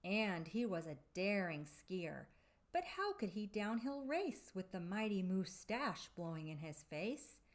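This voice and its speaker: Female children's storybook reader. Unhurried, very melodic delivery, theatrical emphasis, dramatic pauses. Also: mid-range voice, very animated. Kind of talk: expressive read-aloud of a children's picture book.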